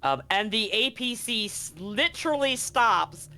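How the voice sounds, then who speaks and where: A game master improvising narration for a tabletop game, with dramatic pauses and drawn-out emphasis. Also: medium pitch, animated, sharp tone.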